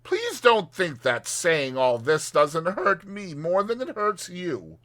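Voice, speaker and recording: A man's voice: high-pitched, huge pitch swings, slow pace, a bit bright, pitched above the performer's own voice, heavily acted. A man voicing a firm, earnest water rat who kindly scolds a friend in a dramatized audiobook. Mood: stern but caring. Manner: emphatic, admonishing.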